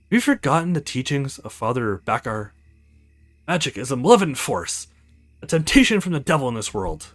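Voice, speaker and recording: A man's voice: medium pitch, sing-song, fairly quick, fairly bright tone, faint music behind. A man voicing a stern, commanding religious zealot of a hunter in a visual novel game, preachy in manner. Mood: zealous, impatient. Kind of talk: visual novel read-aloud.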